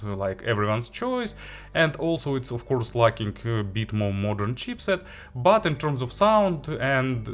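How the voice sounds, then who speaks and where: A man who is a non-native English speaker narrates a product review as an audio gear reviewer, in halting, hesitant phrasing. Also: mid-range voice, a bit bright. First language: not English.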